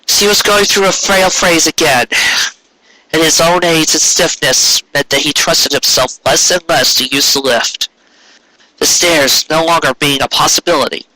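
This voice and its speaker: A man reading a story aloud as an amateur narrator, in a dramatic, measured way with pauses. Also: a little high, bright, forceful tone, no room sound, low-quality recording. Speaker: amateur audiobook reader.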